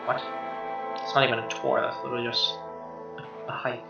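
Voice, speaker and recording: A man's voice: medium pitch, level tone, a little fast, creaky, reverberant, highs cut off. A man reading visual novel narration aloud as a let's player, with offhand asides. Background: soft game music with guitar.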